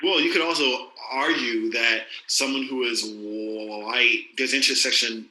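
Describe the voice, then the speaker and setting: A man in a casual discussion, arguing his point in halting restarts. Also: medium pitch, fairly even intonation, bright, forceful tone, echoey room, slight background noise, rough recording. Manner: argumentative, halting.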